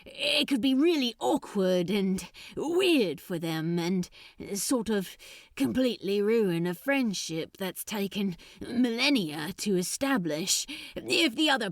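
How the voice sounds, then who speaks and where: An awkward male demon, played by a woman narrator, nervous and stammering in an audiobook tale. The delivery rambles and halts, with lively swings of pitch in a dry, close recording. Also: no echo. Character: awkward flustered man, nervous demon babbling to his friend. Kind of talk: fan fiction audiobook dialogue.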